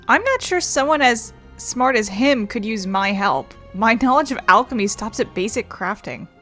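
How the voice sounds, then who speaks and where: A woman's voice: a little high, lively intonation, normal speed, fairly bright tone, soft background music. A woman game streamer giving casual, rambling, chatty commentary as she plays. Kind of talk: casual let's play commentary.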